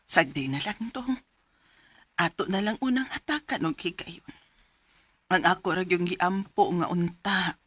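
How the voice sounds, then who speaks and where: Worried mother, bright husky voice. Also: fairly low pitch, no echo. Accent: Filipino, Cebuano-speaking. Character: worried, long-suffering mother of a wayward teen. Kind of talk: radio drama acting.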